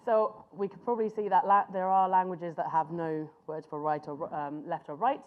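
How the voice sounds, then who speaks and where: A woman presents a master class to a live room, speaking conversationally and sometimes stopping to hunt for an English word. Her voice sits slightly low for a woman. The recording is muffled and lo-fi. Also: little pitch movement. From Finland. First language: Finnish. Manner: conversational, pauses to search for words. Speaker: conference speaker.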